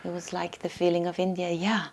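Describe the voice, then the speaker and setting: A middle-aged woman from Norway with a Norwegian accent, a yoga teacher speaking softly and thoughtfully in a portrait interview, pausing to find her words. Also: lowish voice, completely flat delivery. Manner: soft-spoken, thoughtful, pauses to search for words. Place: yoga retreat.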